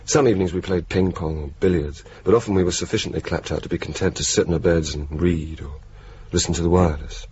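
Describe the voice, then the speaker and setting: An actor playing a wry, laconic, bookish young RAF officer in a radio play, speaking in a dry, understated, deliberate way. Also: male voice, sits low, a little mellow, natural voice. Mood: wry narration. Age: young adult. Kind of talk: naturalistic 1980s radio drama acting. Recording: muffled, hissy old radio broadcast tape.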